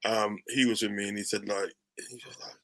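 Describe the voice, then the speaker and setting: A man talking football on a podcast in an animated, casual way, often checking in with "you know what I mean". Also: lowish voice, brisk, vocal fry, rough recording. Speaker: podcast panelist, football fan.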